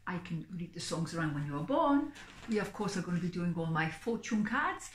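A woman's voice: lowish voice, sing-song, brisk, a little hiss or hum. A middle-aged woman chatting expressively and theatrically to camera as a psychic entertainer hosting her own vlog. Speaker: singing psychic performer and host. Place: small indoor room, seated on a sofa. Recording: echoey room.

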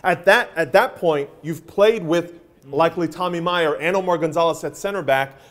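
A middle-aged man gives sports analysis in a loose, conversational way, often pausing on fillers. His voice sits somewhat high for a man. He is recorded on a lapel mic in an office newsroom studio. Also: a bit bright.

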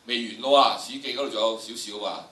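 An older man with a Hong Kong Cantonese accent lectures like a stage veteran, theatrical and animated. His voice is bright and projected. He is picked up from a distance in an echoing hall. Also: fairly low pitch, a little fast. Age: older, about 70s. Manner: theatrical, acting out examples. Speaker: drama teacher, workshop instructor. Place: large lecture hall with student audience. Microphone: handheld microphone through room speakers, recorded from the audience.

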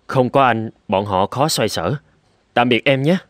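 A man voicing a busy clinic director and welcoming elder brother-in-law in a cartoon drama, familiar and unhurried. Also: mid-range voice, no room sound, natural voice.

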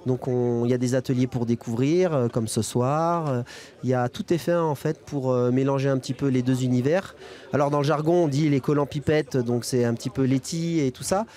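A man's voice: mid-range voice, a little fast, mellow. A young man speaking casually as an interview guest, hesitant and colloquial, into a handheld microphone outdoors. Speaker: guest freeride skier. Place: outdoors at a snowy ski resort event. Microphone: handheld reporter microphone.

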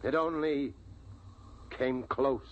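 An actor playing a solemn, resolute father and survivor, telling his tale gravely in 1950s radio drama style. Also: medium pitch, lightly acted. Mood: grave, dramatic. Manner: grave, rhetorical, deliberate storyteller. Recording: dull, faintly noisy vintage radio recording.

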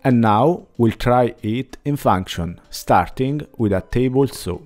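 A man in his forties narrates a workshop build at an unhurried, deliberate pace, his pitch sitting in an ordinary middle range. He reads a plain instructional script with slightly non-native phrasing. Soft music plays faintly underneath. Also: lively intonation. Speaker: woodworking channel host. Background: faint background music.

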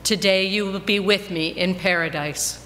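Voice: medium pitch, fairly even intonation, normal speed. A person giving a solemn dramatic reading of scripture as a reader at a church service. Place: large church interior before a congregation. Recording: long reverberant church hall, background noise.

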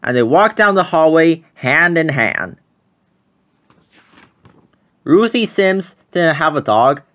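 Children's read-aloud narrator for picture-book stories, mid-range pitch. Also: big pitch swings, takes it slowly, fairly bright tone.

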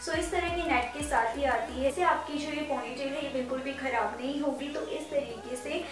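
A woman's voice: high voice, normal speed. A young woman in her twenties from India, chatting casually and persuasively to viewers while showing off her shopping finds. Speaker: fashion vlogger. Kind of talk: casual shopping haul talk. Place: indoor studio with purple backdrop. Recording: very echoey room, mic at a distance, music underneath.

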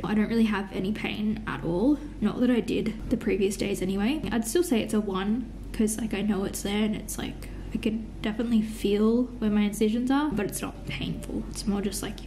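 A woman's voice: medium pitch, moderate pace, few pauses, warm tone, slight background noise, low-quality recording. Congested woman vlogging at home. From Australia. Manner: chatty, frank, lots of "like" fillers. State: congested, stuffy nose. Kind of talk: casual vlog chat to camera. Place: home living room and kitchen, indoors. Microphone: phone camera at arm's length.

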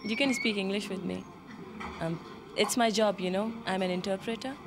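An actress playing an interpreter from Afghanistan who speaks in a composed, even way. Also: female voice, medium pitch, normal speed, natural voice.

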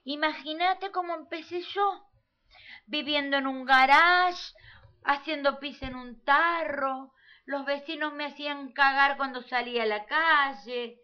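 Woman playing a vain, slow-talking showgirl diva. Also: high voice. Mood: boastful. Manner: theatrical, condescending. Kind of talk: parody radio play. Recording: dull lo-fi audio.

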